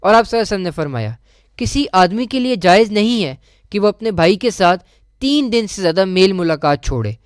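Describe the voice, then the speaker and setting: A man presenting on radio, reading a scripted religious article in a formal, measured manner with careful enunciation. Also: high-pitched, lively intonation, clean tone, no room sound, clean studio sound. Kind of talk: scripted devotional narration.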